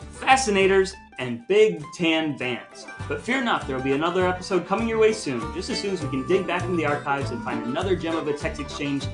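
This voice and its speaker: A man's voice: on the high side, lively intonation, brisk, few pauses, over music. A man hosting a podcast in a conversational style, talking to listeners and reminiscing casually. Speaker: podcast host.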